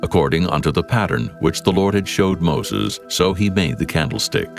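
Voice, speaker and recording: Male narrator for scripture, extremely low with gravel in it, formal and measured, soft music behind. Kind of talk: solemn scripted Bible narration.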